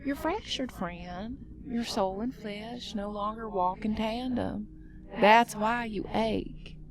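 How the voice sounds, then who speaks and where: A woman voicing a sly, soft-spoken female spider from another world, gentle and wheedling. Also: mid-range voice, slow pace, noisy background, natural voice. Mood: coaxing. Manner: gentle, wheedling, playful then hushed. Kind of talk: horror story voice acting.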